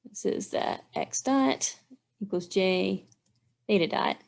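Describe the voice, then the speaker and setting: Woman teaching, creaky voice. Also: medium pitch, slow. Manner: methodical, step-by-step explaining. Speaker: engineering instructor. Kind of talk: instructional walkthrough, thinking aloud while working out math.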